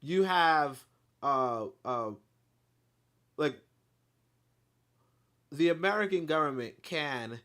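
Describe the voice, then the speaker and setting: A man reading a history book aloud, then breaking off into animated, blunt commentary with profanity and lots of fillers. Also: on the high side, slow pace, pauses often.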